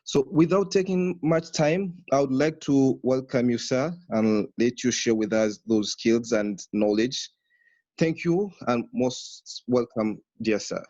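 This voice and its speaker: A man's voice: medium pitch, slow, a little mellow, no echo. A man hosting, giving a formal and deferential welcome speech to a guest. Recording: dull lo-fi online call audio.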